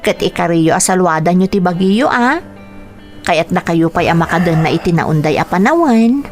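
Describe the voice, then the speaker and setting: An actress with a Filipino accent playing a caring, forgiving young niece in a radio drama, tender and encouraging. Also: female voice, fairly low pitch, animated, normal speed, faint music behind, lightly acted.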